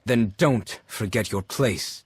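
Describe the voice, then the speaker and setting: A man playing a stern, disdainful zealot loyal to a fallen emperor in a video game, with a husky voice. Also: on the low side, slow, no room sound, heavily acted. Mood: commanding. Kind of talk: dramatic video game acting.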